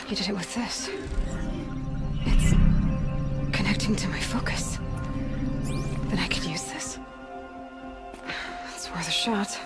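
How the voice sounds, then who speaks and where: An actress voices a young warrior woman cast out by her tribe, resolute and earnest. She sits in a middle register, with loud music behind her in a game cutscene. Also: medium pitch, close to an everyday voice. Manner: earnest, determined. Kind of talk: video game cutscene acting.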